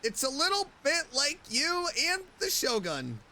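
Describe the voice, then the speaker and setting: A woman's voice: high-pitched, wildly swooping pitch, a little slow, pushed and bright. A woman streaming a video game, giving casual, chatty and playful reactions as she plays. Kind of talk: casual reactive gameplay commentary.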